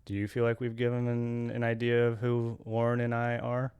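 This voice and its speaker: A man's voice: medium pitch, monotone, moderate pace, a little mellow, clear, resonant tone, dull audio. A young adult man co-hosting a casual podcast, rambling and correcting himself as he talks. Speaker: podcast co-host.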